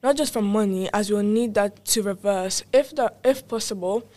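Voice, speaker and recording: A woman's voice: mid-range voice, normal speed, warm tone, close to an everyday voice. A woman playing an earnest local councillor in an amateur radio drama. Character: earnest local councillor promising tough climate laws.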